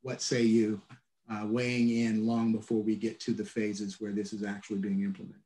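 Measured man on an echoey video call. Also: lowish voice, completely flat delivery, dark tone. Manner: measured, restarts phrases, many "uh" fillers. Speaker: transit agency leader, keynote guest. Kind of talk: answering questions on a video call. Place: office at home or work.

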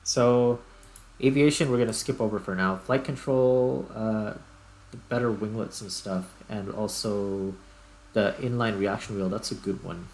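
Low creaky-voiced man commenting on gameplay. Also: fairly even intonation, a little mellow, low-quality recording. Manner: thinking aloud in broken, halting phrases. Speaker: gaming video host. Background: music.

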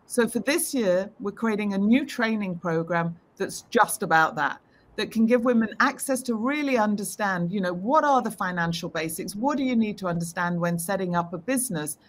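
A woman's voice: mid-range voice, lively intonation, normal speed. British woman, 50s, fluent upbeat executive guest, video call audio. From United Kingdom. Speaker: interview guest, tech executive. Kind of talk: remote interview answers. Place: home room, indoors.

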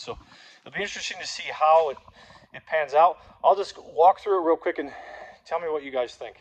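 A man's voice: mid-range voice, rough recording. Man in his thirties, casual vlogger, rambling walkthrough, filmed on a phone at arm's length in a big indoor warehouse. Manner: rambling and relaxed, with fillers and restarts. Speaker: gym owner vlogger. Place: large warehouse-style gym, indoors. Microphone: phone held at arm's length.

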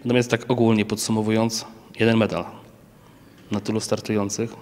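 A man in his thirties interviewing a coach outdoors, holding a handheld mic and asking steady, informed questions. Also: lowish voice, a little fast, long reverb tail. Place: outdoors by a banner at an event.

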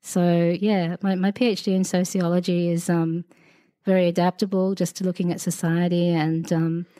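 Chatty Australian woman in her forties, mellow. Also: fairly low pitch, flat delivery, normal speed, no echo. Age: 40s. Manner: chatty and rambling, many fillers and asides, laughs often. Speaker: podcast guest, sociologist. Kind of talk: casual conversational interview.

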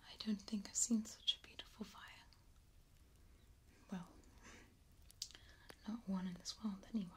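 A gentle goddess of the hearth, played by a young woman, speaks in a soft whisper. She is kindly and a little wistful, taking her time as in an ASMR roleplay, at a medium pitch. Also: fairly even intonation, close to an everyday voice. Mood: gentle wonder. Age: young adult. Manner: hushed, gentle, unhurried.

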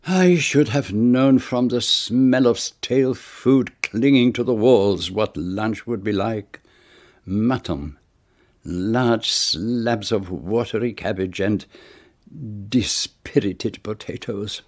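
An actor playing a fastidious, courteous Belgian detective with a French-speaking Belgian accent, formal and inquisitive, in a radio play. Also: male voice, on the low side, darkish tone. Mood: disdainful.

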